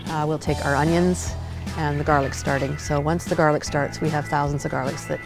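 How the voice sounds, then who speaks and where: Older woman farmer, low voice, relaxed and earnest, outdoor interview over guitar music. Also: brisk, vocal fry. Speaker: urban farmer. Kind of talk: documentary interview. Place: outdoors on a farm.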